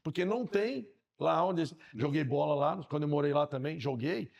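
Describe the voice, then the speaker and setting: Gravelly São Paulo man telling stories. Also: fairly high pitch, normal speed, muffled recording. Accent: Paulistano Brazilian Portuguese. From São Paulo, Brazil. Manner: relaxed anecdotal talk with slang. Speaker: podcast host. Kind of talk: casual podcast chat.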